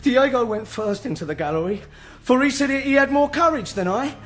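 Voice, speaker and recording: An actor playing a frightened, foolish castle guard, babbling comically in a gothic radio drama. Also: male voice, extremely high pitch, moderate pace. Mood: nervous babbling. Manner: excitable, babbling.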